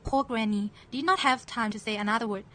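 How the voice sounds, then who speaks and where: A woman's voice: a little high, fairly quick. A woman narrating a scripted children's story as moderator.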